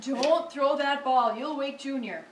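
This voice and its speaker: An actress playing a scolding wife in an old-time radio comedy. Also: female voice, high voice, unhurried. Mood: alarmed, scolding. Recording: echoey live stage, distant, faint noise.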